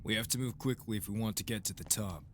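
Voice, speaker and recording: Gruff rebel leader, stern, impatient, man acting, audio drama, faint noise. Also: on the low side, fast, fairly bright tone, lightly acted. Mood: grave. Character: gruff male rebel leader, commanding, weary.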